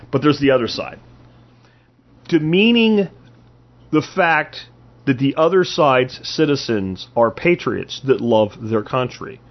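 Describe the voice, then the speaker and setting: A man's voice: on the high side, highs cut off. Man in nadrukkelijk politiek betoog, ietwat doffe opname. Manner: emphatic, rhetorical questions and repetition. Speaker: podcast host. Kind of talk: opinionated political monologue.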